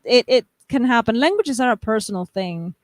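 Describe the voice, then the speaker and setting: A woman's voice: a little high, expressive, fairly quick, no echo. A young woman from the United States hosting a live stream, chatting casually and reading viewer comments aloud, often laughing and restarting her sentences.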